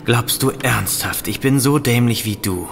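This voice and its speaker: An actor playing a greedy, arrogant banker's son, cold and sneering, in a German audio drama. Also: male voice, mid-range voice, a little slow, vocal fry, over music. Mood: scornful. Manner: haughty, sneering.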